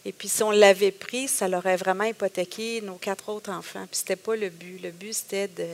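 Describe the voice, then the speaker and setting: A woman's voice: medium pitch, steady tone, moderate pace. A middle-aged woman with a Québécois accent, telling her personal story as a guest in a conversation, with many hesitations and quoted thoughts. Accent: Québécois French.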